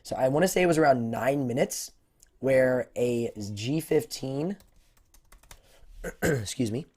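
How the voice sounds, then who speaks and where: A man with a voice hoarse from a cold, answering viewer questions on a casual livestream, rambling with lots of fillers. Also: mid-range voice, measured pace, darkish tone. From United States. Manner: rambling, many fillers and restarts. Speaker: tech YouTuber hosting a livestream Q&A.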